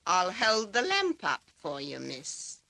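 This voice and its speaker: An actress playing a gruff, indignant older farm woman in an old-time radio drama, brusque and scornful. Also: fairly low pitch, wildly swooping pitch, slow, noisy background, heavily acted. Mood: dismissive.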